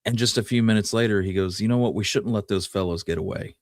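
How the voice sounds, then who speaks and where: Male podcast host, slightly low voice, folksy and conversational history storytelling, very dry sound. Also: quick pace, a little mellow, no echo. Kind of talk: casual storytelling, Wild West history talk.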